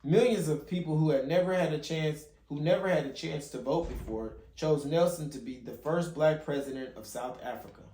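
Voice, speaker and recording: A man with a fairly high-pitched voice reads a storybook aloud for children. He sometimes trips on a word and repeats the phrase. The recording is echoey, as in a bare room at home. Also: normal speed, hardly any pauses, warm tone, slight background noise. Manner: stumbles and rereads phrases as he reads. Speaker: father hosting a children's read-along channel. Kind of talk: reading a picture book aloud.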